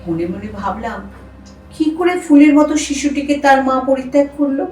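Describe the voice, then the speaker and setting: A woman playing a troubled, protective elder sister in an audio drama, with emotional, expressive delivery. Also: sits high, moderate pace. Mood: grave, sorrowful. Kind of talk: Bengali audio drama acting. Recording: echoey room, distant mic, music bed.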